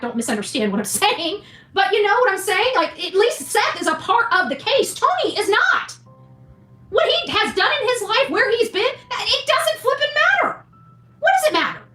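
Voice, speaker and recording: Female livestream host, indignant and blunt, animated bright delivery, scolding opinion rant with repetition. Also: extremely high pitch, moderate pace. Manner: indignant, scolding, repeats phrases for emphasis; blunt, mildly profane. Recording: echoey room, faint music behind.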